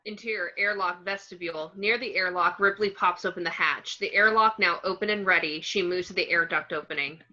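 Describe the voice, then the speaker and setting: Bright, lowish-voiced woman narrating a screenplay. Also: fairly quick, hardly any pauses, highs cut off. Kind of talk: reading screenplay action descriptions, scripted narration.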